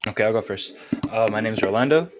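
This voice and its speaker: Deep-voiced eighteen-year-old man, halting. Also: lively intonation, noisy background. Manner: hesitant, many fillers. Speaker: podcast guest, college student. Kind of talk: casual podcast chat.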